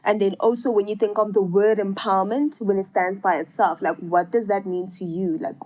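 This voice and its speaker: A young woman co-hosts a relaxed chat show while fighting a cold. She talks in a loose, chatty way, dropping plenty of ums and likes as she works out her questions. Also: medium pitch, brisk. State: has a cold. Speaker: co-host. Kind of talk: casual podcast chat.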